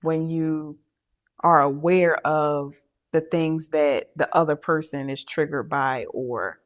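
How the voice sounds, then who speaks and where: A middle-aged woman co-hosting a podcast in casual chat, talking haltingly with many fillers and restarts. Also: sits low. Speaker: podcast co-host.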